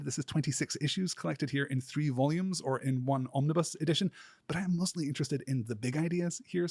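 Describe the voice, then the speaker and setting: A man hosting a solo podcast in a scripted, articulate and erudite style, speaking with earnest enthusiasm and deliberate emphatic pauses. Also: medium pitch, a little mellow, no echo, studio quality. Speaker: podcast host.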